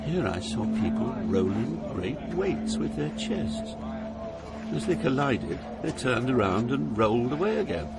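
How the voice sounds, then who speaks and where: A man playing an awed, solemn poet recounting a journey through hell, deliberate, with dramatic pauses. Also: mid-range voice, sing-song, heavy background noise. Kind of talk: dramatized scripted narration.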